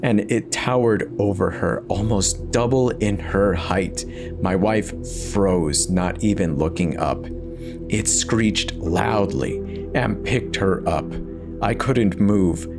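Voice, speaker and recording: Male narrator, lowish register, theatrical first-person horror reading, light music bed. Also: takes it slowly.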